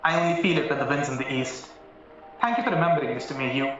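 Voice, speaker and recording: A man acting a courteous, brisk figure from a law chambers in a radio play, speaking formally. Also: on the high side, animated, fairly bright tone. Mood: deferential. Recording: roomy, distant, muffled, music underneath.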